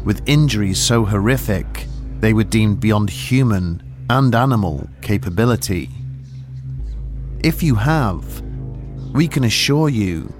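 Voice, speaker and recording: A man narrating a scripted horror storytelling trailer in an ominous, deliberate, dramatic manner. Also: fairly low pitch, animated, faint music behind. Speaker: podcast narrator.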